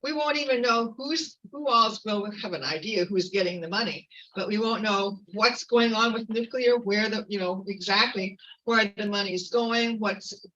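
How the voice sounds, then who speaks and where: A woman giving an earnest, informal activist talk at a community meeting, halting with fillers and restarts. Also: medium pitch, fairly bright tone, recorded from a distance, dull audio. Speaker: activist speaker.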